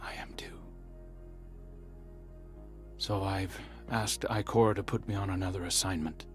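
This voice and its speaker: A haunted, brooding game hero, with a low voice. He speaks slowly in a hushed, earnest way over faint music. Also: fairly even intonation. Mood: resolved. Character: brooding young male hero haunted by his past. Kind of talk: scripted video game cutscene acting.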